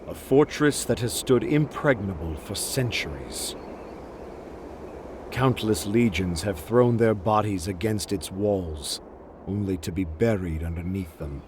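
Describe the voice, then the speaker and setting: A man playing a shrewd, loyal military strategist in a video game, with formal, theatrical and deliberate delivery. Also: on the low side, background noise. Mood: grave, confident. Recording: music behind the voice. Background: game music.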